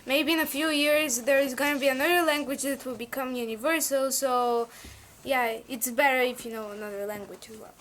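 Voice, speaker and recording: A woman's voice: high-pitched, steady tone, brisk, fairly bright tone, a little hiss or hum. A young teenage girl arguing her point in a classroom debate, with many hesitations and fillers. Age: young teen. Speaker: student debater.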